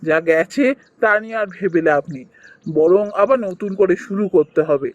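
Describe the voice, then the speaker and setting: A man voicing a kindly, emotional village brother in a fairy-tale cartoon, expressive and melodramatic. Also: high-pitched, moderate pace, no room sound, dull audio, lightly acted. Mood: remorseful.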